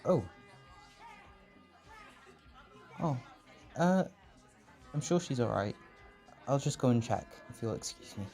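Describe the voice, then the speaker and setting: A man acts out a protective, tender caretaker in a comfort roleplay. His voice is mellow and mid-pitched, soft and reassuring. Music and party chatter sit behind him. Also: animated, moderate pace, gravelly. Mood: mildly concerned. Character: gentle, protective male caretaker comforting an overwhelmed partner. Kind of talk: roleplay comfort audio, intimate acting.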